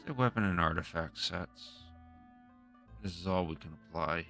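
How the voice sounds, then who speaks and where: A man streaming a video game with casual commentary, halting and repeating his phrases as he talks half to himself. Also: sits low, creaky, fairly bright tone. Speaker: game livestreamer. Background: game music.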